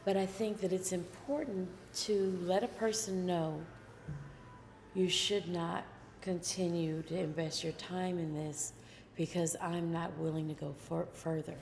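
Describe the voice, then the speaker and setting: A woman with a soft, somewhat low voice gives careful, measured advice as a co-host of a relaxed dating talk. Her voice carries a lingering reverb. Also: vocal fry, a little hiss or hum. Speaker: podcast co-host. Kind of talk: casual dating chat. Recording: long reverb.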